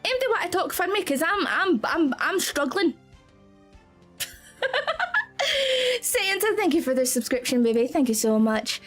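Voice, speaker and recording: Scottish woman streamer, very high and lively, giggly and effusive, faint music underneath. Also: measured pace, long pauses. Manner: bubbly, giggly, effusive thanks. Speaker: VTuber streamer. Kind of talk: live-stream chat with viewers. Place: indoor home streaming setup.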